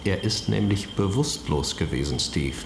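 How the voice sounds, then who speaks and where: An actor playing a dry, factual forensic examiner, explaining clinically in a radio crime drama. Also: male voice, deep voice, expressive, normal speed, gravelly, echoey room, noisy background.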